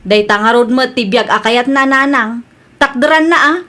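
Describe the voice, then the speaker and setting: A woman's voice: fairly high pitch, moderate pace, pushed and bright. A woman with a Filipino Ilocano accent playing a gossipy, suspicious young sister in a comedy drama. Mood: scornful.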